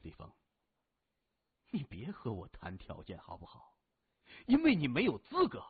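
A man voicing a cold, mocking young gang boss in an audiobook, measured and quietly menacing. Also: sits low, sing-song, husky, no echo, pitched below the performer's own voice, exaggerated voice. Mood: cold, threatening. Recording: thin, narrow phone-like audio.

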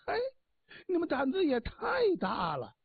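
A man's voice: very high voice, huge pitch swings, a little slow, vocal fry, no echo, pitched above the performer's own voice, heavily acted. A man voicing Dongfang Shuo, a sly immortal trickster who mocks and mutters asides. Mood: mocking. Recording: tinny phone-like dry sound.

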